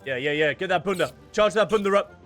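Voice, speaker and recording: A man's voice: high voice, fast. A man streaming a video game, giving casual, playful, jokey play-by-play commentary. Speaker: gaming streamer. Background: game music.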